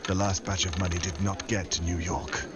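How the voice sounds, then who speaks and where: An actor playing a suave, unflappable secret agent in a 1940s-style radio drama, with a smooth, wry, flirtatious manner. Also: male voice, low-pitched, steady tone, fairly quick, noisy background, close to an everyday voice.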